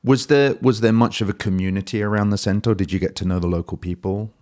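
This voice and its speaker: A man's voice: lowish voice, fairly even intonation, fast, a little mellow. A man hosting an interview, curious and enthusiastic, asking follow-up questions and adding frequent short affirmations. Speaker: interviewer host. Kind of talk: conversational interview.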